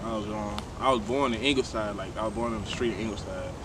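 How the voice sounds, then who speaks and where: A man's voice: on the low side, quick pace, far from the mic, noisy background. A man, talking loosely in a casual interview and often restarting his phrases. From Shreveport, Louisiana, USA. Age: 20s. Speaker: guest, tattoo artist.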